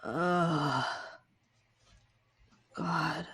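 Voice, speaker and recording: A tabletop player with a halting, informal way of talking, casually role-playing and describing a character's actions. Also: mid-range voice, a bit bright, slightly rough.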